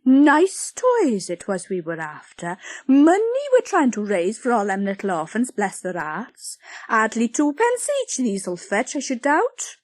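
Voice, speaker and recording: A woman voicing a nosy, greedy middle-aged village busybody who talks loudly and gushes with exclamations. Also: high voice, big pitch swings, slow, no room sound, pitched above the performer's own voice, heavily acted. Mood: indignant. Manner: loud, gushing, exclaiming.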